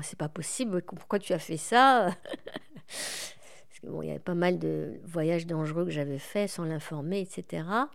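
A woman in her forties, a guest in an interview, speaking reflectively with many pauses and fillers. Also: on the low side, darkish tone. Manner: reflective, hesitant with frequent fillers. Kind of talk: conversational interview.

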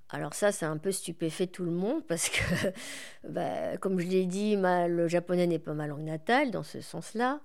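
A woman in her forties, a guest in an interview, speaking reflectively with many pauses and fillers. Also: on the low side. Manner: reflective, hesitant with frequent fillers. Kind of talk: conversational interview.

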